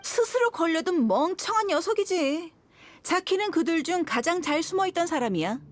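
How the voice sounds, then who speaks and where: Shrewd, high-voiced young mercenary woman. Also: expressive, moderate pace, no room sound. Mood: confident explaining. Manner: cool, teasing, self-assured. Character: confident, shrewd young female desert mercenary. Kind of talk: video game voice acting, story dialogue. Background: background music.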